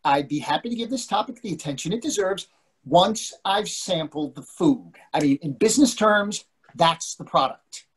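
Husky, self-assured older businessman played by a man. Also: high voice, lively intonation, normal speed. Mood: commanding. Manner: dry, authoritative. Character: self-assured older management consultant, dryly commanding husband. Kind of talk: readers theatre comedy acting. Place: indoors on a video call. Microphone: computer microphone on video call.

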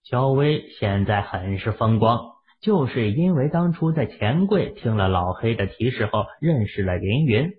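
A man's voice: mid-range voice, expressive, measured pace, hardly any pauses. A man narrating a novel as a scripted, dramatic audiobook reading. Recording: tinny, narrow-band phone-like audio.

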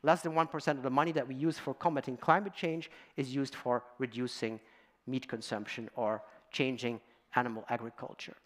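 A man's voice: medium pitch, steady tone, a little fast. A middle-aged man from Belgium giving a storytelling conference talk in a large auditorium, addressing the audience with fillers. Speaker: guest speaker.

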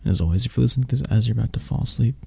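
A man's voice: medium pitch, rapid-fire, creaky, dark tone, slightly rough, no echo. A man, an ASMR channel host, talking casually and directly to his listeners.

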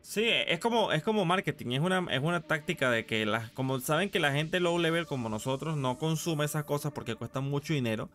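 A man's voice: fairly high pitch, lively intonation, a little fast, few pauses, a bit bright. A man chatting casually on a live game stream, slangy and sweary as he gripes like a regular player. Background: music.

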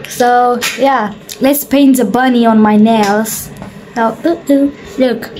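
A young woman with a slightly high voice chats and jokes to her phone camera, held at arm's length. She keeps circling back to easy filler words. A faint background hiss sits under her voice in a small indoor room. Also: fairly even intonation, slow, darkish tone. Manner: chatty, joking, repeats filler words. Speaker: vlogger. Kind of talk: casual vlog chat to camera.

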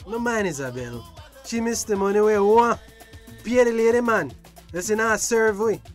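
A man's voice: high-pitched, lively intonation, normal speed. Rowdy, teasing Belizean Creole fisherman, grumbler, radio drama over music. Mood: grumbling, teasing.

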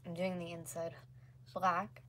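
A woman talks casually to her livestream viewers while she crafts. Her voice sits fairly low and sounds a little hoarse. The recording is a homemade one in a small room, with noticeable noise behind her. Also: flat delivery, a little slow, sharp tone. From North America. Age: 20s. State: slightly hoarse. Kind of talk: casual chat while painting. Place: small room at home, indoors. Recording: clearly noisy home livestream recording.

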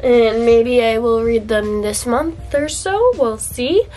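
A woman's voice: on the high side, takes it slowly, mellow, slight background noise. A young woman book vlogger chatting to camera in a dim indoor room, congested from a cold, gushing and chatty with fillers. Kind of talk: casual chat to camera.

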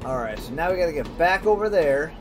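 A man's voice: animated, a little fast. Man muttering game commentary over noisy ambience. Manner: informal, muttering to himself in short phrases. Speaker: gamer, let's play host. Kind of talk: casual gameplay commentary, thinking aloud. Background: horror game ambience and machinery rumble.

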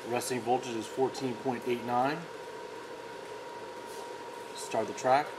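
A man's voice: mid-range voice, level tone, slow pace. Male product demonstrator, casual and matter-of-fact, noticeable workshop noise behind. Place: indoor workshop.

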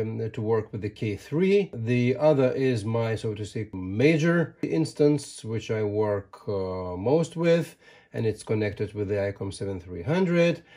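A man, explaining steps deliberately and emphatically in a tutorial style. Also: medium pitch, dark tone. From Lithuania. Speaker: ham radio tutorial presenter. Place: small home radio room, indoors.

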